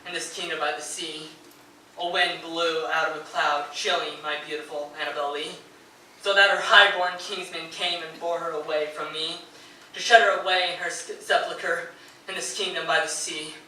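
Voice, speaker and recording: A man's voice: fairly high pitch, fairly even intonation, normal speed, sharp tone. A man playing a grief-stricken, nervous poet mourning his wife, reading fervently and theatrically on stage. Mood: bitter grief. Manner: fervent, declamatory, swelling into anguished outbursts. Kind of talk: theatrical dramatic reading of poetry and letters. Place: live performance in a radio station's performance room. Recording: very echoey live room, distant mic, faint noise.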